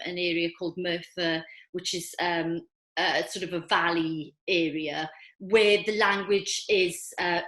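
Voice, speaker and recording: An older woman with a Welsh accent speaks in a lowish voice, pausing often with ums as she talks with enthusiasm. Her voice bounces around an echoey room. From Wales. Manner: hesitant, many ums, warm enthusiastic. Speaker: filmmaker guest. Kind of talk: online festival Q&A.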